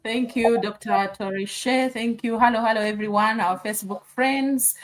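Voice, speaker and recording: A woman's voice: on the high side, moderate pace, rough recording. A woman from Uganda, a nurse and mentor, chatting as a guest and thinking aloud with friendly fillers. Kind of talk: casual chat.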